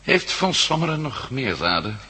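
An actor playing a suspicious, defiant captive adventurer in a radio drama, accusing and probing. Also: male voice, mid-range voice, very animated, moderate pace, bright, forceful tone, in a large hall.

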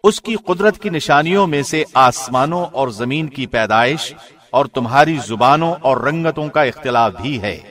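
Calm male radio voice reading scripture. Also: medium pitch, faint music behind. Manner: calm, even, formal delivery. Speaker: radio host reading a translation. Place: studio.

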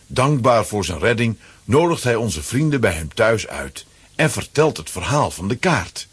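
A man's voice: mid-range voice, slightly rough, no room sound. A man narrating a scripted children's audio play as its storyteller. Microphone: close, dry studio microphone.

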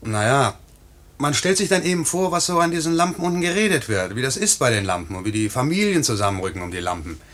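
A young night pilot in a 1950s German radio drama. His voice swoops with lively intonation. He is boyish and teasing, by turns swaggering and dreamily tender. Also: medium pitch, quick pace. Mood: dreamy, marveling.

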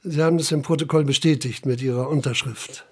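An actor playing a stern, methodical police investigator in a radio drama, with a husky voice and formal, deliberate delivery. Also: male voice, medium pitch, fairly even intonation, a little mellow, close to an everyday voice. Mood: stern questioning. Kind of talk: radio drama interrogation and report narration.

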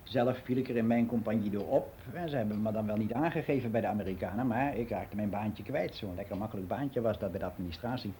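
Male actor as older man giving frank, matter-of-fact radio play monologue; soft dark tone, slightly noisy recording. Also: lowish voice, fast talker, hardly any pauses, natural voice. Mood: matter-of-fact, reminiscing. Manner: matter-of-fact, rambling, candid.

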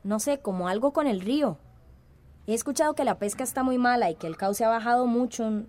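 An actress playing a thoughtful, questioning grown daughter in a radio drama. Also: female voice, a little high, fairly quick, darkish tone, close to an everyday voice. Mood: doubtful.

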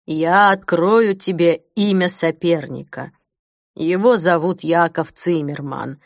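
Female narrator as wise old foster mother, low pitch, measured, grave, dry recording. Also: gravelly, no echo. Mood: grave, consoling. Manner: measured, solemn, counselling. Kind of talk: audiobook character acting.

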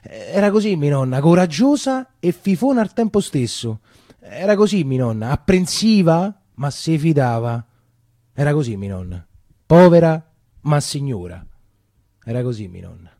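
Roman man, fairly high voice, theatrical monologue, colloquial, confiding, repeated phrases, dry sound. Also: big pitch swings, measured pace, dark tone, no echo. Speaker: stage actor. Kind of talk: theatrical storytelling monologue, in character.

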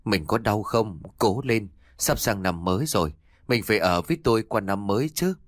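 A man voicing a desperate aging husband who pleads, shouts and sobs over his dying wife. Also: lowish voice, animated, moderate pace, no echo, studio quality, close to an everyday voice. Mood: tender coaxing. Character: desperate aging husband, grief-stricken and volatile.

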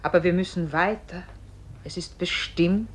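An actress playing a calm spirit voice in a German radio play, measured, grave and oracular, on an old recording. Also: female voice, fairly low pitch, slight background noise, close to an everyday voice. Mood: grave, firm. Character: calm female spirit voice guiding a dead man's soul. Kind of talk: German radio play acting, solemn and poetic.

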